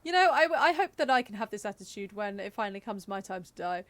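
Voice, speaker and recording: A young woman live streaming with casual game commentary, musing out loud in a chatty, informal way. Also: mid-range voice, very animated, quick pace. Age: young adult.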